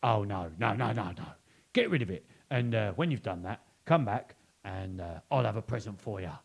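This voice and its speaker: A man's voice: lowish voice, lively intonation, moderate pace, vocal fry. A man voicing a big selfish storybook crocodile. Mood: relieved.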